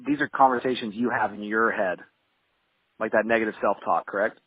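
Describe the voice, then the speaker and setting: A man with a low, husky voice hosts a conversational interview. He asks his questions in a hedging, searching way. The sound is a little dull and muffled. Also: a little fast, no room sound. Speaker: podcast host, interviewer.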